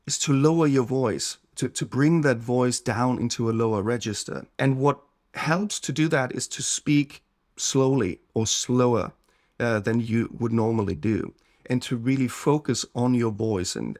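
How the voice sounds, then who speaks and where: A man's voice: medium pitch, gravelly. A middle-aged man hosting a live sales show, giving casual advice and often repeating words as he restarts. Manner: restarts and repeats words, relaxed conversational coaching.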